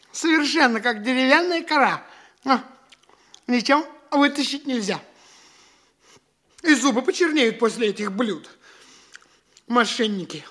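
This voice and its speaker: An actor playing a vain, fussy, hungry young gentleman in a theatrical radio play, haughty and exclamatory. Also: very high voice, full character voice.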